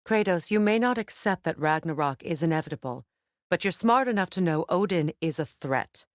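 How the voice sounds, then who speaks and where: A woman's voice: fairly low pitch, lively intonation, dry recording, studio quality, lightly acted. A woman acting a proud, guarded warrior goddess. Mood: measured, guarded. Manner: measured, formal, earnest delivery. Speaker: video game voice actor. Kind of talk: video game character dialogue.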